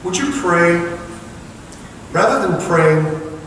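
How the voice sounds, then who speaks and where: A man's voice: sits high, very slow, fairly bright tone, a little hiss or hum. A male pastor preaching a sermon, earnest and pressing the congregation with repeated questions. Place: large church sanctuary. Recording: echoey hall, mic far off.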